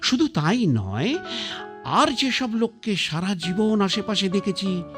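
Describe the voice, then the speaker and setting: Proud sick old man past eighty, slow, gruff, lively pitch; radio play, faint music. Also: heavily acted. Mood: brooding. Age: older. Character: ailing man over eighty, proud and stubborn.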